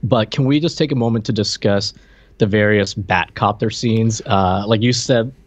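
A man in his thirties co-hosting a podcast, discussing movies casually in a conversational, halting way. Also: lowish voice, no room sound. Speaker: podcast co-host. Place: indoor room, video call. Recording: dry, slightly dull sound.

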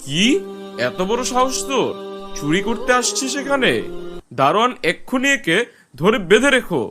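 A man's voice: extremely high pitch, big pitch swings, moderate pace, sharp tone, soft background music, full character voice. A man voicing a haughty, hot-tempered village landlord in a cartoon, scolding and domineering. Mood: outraged. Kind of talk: cartoon voice acting.

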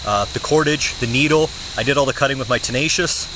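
A man's voice: mid-range voice, fast. Young man in the woods, crickets chirping. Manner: relaxed, plain-spoken, many "uh" fillers. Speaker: bushcraft channel host. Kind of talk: casual show-and-tell vlog. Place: woods outdoors, seated on the ground. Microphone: camera mic at close range. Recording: outdoor camera audio with insect noise. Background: crickets and insects.

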